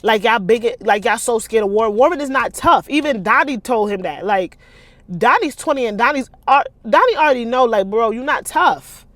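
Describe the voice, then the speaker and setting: Expressive American woman, slangy storyteller. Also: on the high side, brisk, no echo. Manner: animated, slangy, colloquial. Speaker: author and host reading their own novel aloud. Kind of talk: expressive read-aloud of urban fiction with casual commentary.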